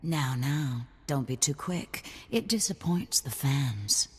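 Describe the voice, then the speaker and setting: A woman playing a sultry, sadistic video game crime lady with a languid, teasing, drawn-out delivery. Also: a bass voice, lively intonation, breathy edge, big-room sound, full character voice. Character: sultry, sadistic crime lady who relishes blood sports.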